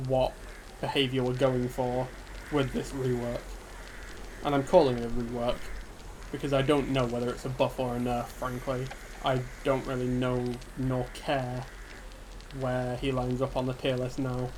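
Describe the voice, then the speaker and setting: A man giving an unscripted, subdued and hesitant rant about a game, sounding dejected and pausing often on ums. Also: medium pitch, rough recording. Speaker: gaming video creator. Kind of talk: unscripted solo rant to camera. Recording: steady background ambience hiss.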